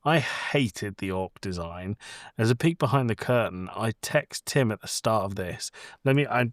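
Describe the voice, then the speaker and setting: Low-voiced man chatting about films. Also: lively intonation, moderate pace, no echo, clean studio sound. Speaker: podcast co-host. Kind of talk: casual movie discussion.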